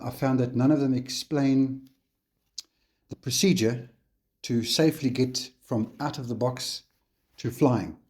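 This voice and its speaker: A man with a South African accent hosting a hobby channel, walking viewers through a kit in a relaxed tutorial style with frequent ums and uhs. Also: medium pitch. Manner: relaxed, unhurried, frequent fillers. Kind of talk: casual tutorial walkthrough on camera.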